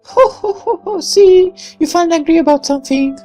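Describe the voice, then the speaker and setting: Casting: young girl voiced by an adult in falsetto, very high, firm and teasing, visual novel. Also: fairly quick, dark tone, soft background music. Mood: teasing amusement. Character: assertive scolding young girl, playfully teasing.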